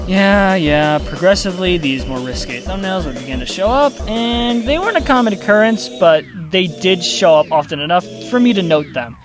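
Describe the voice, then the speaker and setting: Casting: exasperated, sarcastic YouTube commentator, casual and sweary, very lively intonation. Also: medium pitch, normal speed, few pauses, over music. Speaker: YouTube video essayist. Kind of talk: casual commentary with scripted narration.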